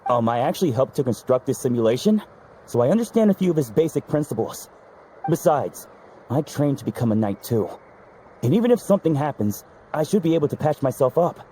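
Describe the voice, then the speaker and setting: A man plays a timid young male medic with a mid-pitched, mellow voice that moves expressively. He hesitates and stumbles before committing to a reply. Faint noise lies underneath. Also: sing-song, brisk, natural voice, poor audio. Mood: earnest. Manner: hesitant, stammering.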